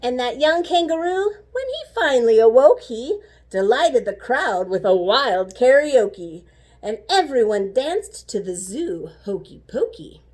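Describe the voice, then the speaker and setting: A woman reading a children's story aloud like a teacher, animated and rhythmic with the rhymes. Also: fairly high pitch, unhurried. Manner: animated, rhythmic rhyming delivery.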